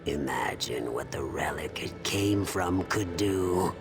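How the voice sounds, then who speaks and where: A man playing a scheming, scholarly courtier in a video game, insinuating and deliberate, coolly fascinated by dark relics. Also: deep voice, heavily acted. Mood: awed, insinuating. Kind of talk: dramatic game dialogue. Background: prominent music.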